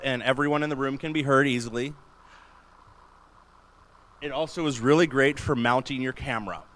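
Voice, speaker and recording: A man with a booming voice giving an enthusiastic, chatty panel talk in a large convention room. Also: a bit bright. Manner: enthusiastic, chatty, laughs often. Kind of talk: casual panel talk on streaming gear.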